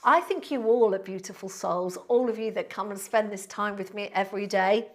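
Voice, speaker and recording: A woman's voice: medium pitch, moderate pace. A woman of sixty, a Pilates instructor chatting on a live stream, thanking viewers by name in a friendly, rambling way with fillers. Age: 60s. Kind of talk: live-stream chat reading out viewer comments.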